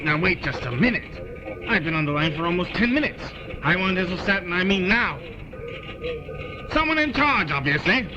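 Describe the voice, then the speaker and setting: An impatient colonel played by a man gives orders in a brusque, authoritative manner. His voice runs a little high for a man, in a slightly dull audio drama recording. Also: sing-song, moderate pace, music in the background. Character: impatient, commanding male military colonel. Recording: dull lo-fi sound.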